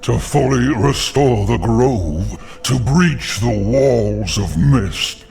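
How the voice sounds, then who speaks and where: A man voicing an ancient, weary giant tree spirit in a video game, solemn and benevolent, speaking gravely and deliberately. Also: animated, darkish tone, soft background music, exaggerated voice. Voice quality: deep, creaky. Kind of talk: video game character acting.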